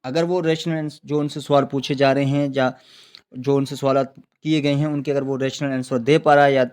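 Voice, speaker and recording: A man's voice: mid-range voice, little pitch movement, normal speed, a little mellow, clear, resonant tone. A man with a Pakistani accent lecturing on law for students, repeating and rephrasing points, asking questions and answering them himself, with frequent check-in tags. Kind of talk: explanatory exam-prep lecture in Urdu mixed with English legal terms.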